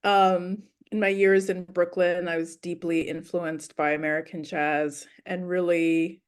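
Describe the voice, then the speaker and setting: A woman's voice: lowish voice, level tone, a little slow, smooth. A woman born in Ethiopia, talking as an interview guest about her music in a thoughtful, storytelling way with frequent ums and you-knows. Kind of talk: casual interview talk.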